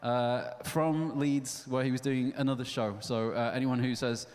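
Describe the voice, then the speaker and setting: A man hosting a live event, talking haltingly with lots of ums and wry jokes. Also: medium pitch, steady tone, a little mellow. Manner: hesitant, many fillers, joking. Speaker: event host. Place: live venue stage with audience. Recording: long hall reverb.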